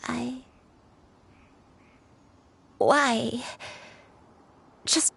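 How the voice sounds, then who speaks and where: A woman playing a frail, gentle grandmother in a video game, speaking haltingly and reflectively with a sometimes breathy voice. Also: a little high, fairly even intonation, no echo, heavily acted. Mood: tender. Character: frail, gentle old grandmother burdened by forgotten past. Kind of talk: dramatic video game voice acting. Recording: music under the voice.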